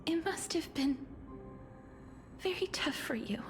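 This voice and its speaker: Game role: gentle, formal young priestess, high pitch, unhurried tender delivery. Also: female voice, animated. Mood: solemn, tender. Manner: formal, polite, tender phrasing. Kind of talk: anime-style video game voice acting. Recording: game music behind the voice.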